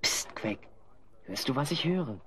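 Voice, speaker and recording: A man's voice: medium pitch, very animated, a little slow, noisy background. A man playing a bold, clever young adventurer with a wry, decisive manner in a German audio play. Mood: alert, urgent.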